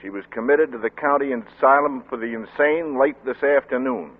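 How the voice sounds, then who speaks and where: An actor playing a brisk, impersonal news announcer, loud and formal, in an old radio drama. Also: male voice, medium pitch, lightly acted. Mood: solemn, urgent. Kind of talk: 1940s radio acting. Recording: narrow, muffled old radio recording.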